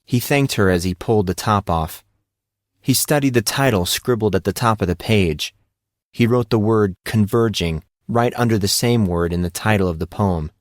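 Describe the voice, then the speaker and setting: A man's voice: on the low side, moderate pace, no room sound, clean studio sound. A man narrating a scripted story as an audiobook narrator.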